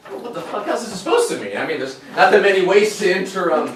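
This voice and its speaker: A man's voice: high-pitched, expressive, fast talker, crowd noise. A man playing a naive, defensive young man who rambles, hesitates and swears a lot in a dramatic scene. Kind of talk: dramatic acting. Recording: very echoey room, distant mic, noisy background.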